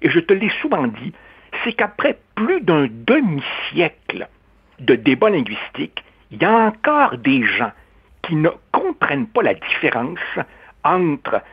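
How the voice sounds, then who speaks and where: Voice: mid-range voice, wildly swooping pitch, a little slow, slightly rough. A speaker, arguing a point in a radio discussion, with emphatic rising stresses. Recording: dull lo-fi radio sound.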